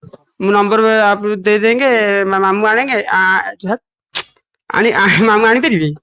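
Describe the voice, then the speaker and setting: A man's voice: sits high, pushed and bright. Odia-speaking guy stumbling through a call, lots of restarts, dull phone sound. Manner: halting broken speech, many restarts. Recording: muffled phone call recording.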